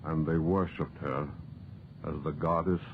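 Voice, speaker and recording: An actor playing a solemn museum professor with a portentous delivery in a 1940s radio horror play. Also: male voice, a bass voice, steady tone, a little slow, slight background noise. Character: solemn male museum professor explaining an ancient goddess. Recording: tinny, phone-like old radio recording.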